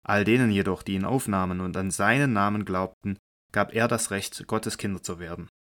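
A man narrating scripture in a measured, solemn reading for a radio drama. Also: on the low side, a bit bright.

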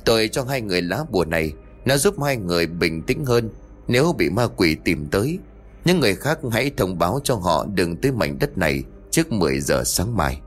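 A man's voice: low voice, very animated, a little fast, soft background music. A man voicing a grave male exorcist who solemnly warns a family of danger. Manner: solemn, warning.

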